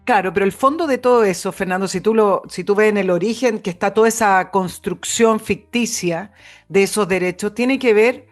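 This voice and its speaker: A woman's voice: mid-range voice, moderate pace, faint music behind. A woman with a Chilean accent co-hosting an opinionated political discussion, earnest and explanatory, repeating phrases for emphasis. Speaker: co-host and political commentator.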